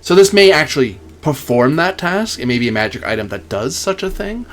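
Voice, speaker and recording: A man narrating a tabletop game as game master, in a halting, deliberate way with pauses. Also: fairly high pitch, big pitch swings, a bit bright, soft background music.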